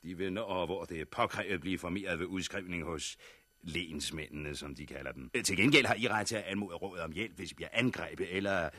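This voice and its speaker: A cold, authoritarian official in an old radio play. The voice is low and forceful, recorded dry and close, with measured bureaucratic phrasing that lays down the rules matter-of-factly. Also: male voice, dry recording. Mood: stern, commanding. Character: cold, authoritarian male official of a militarised regime. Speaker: radio actor. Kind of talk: 1960s Danish radio drama acting.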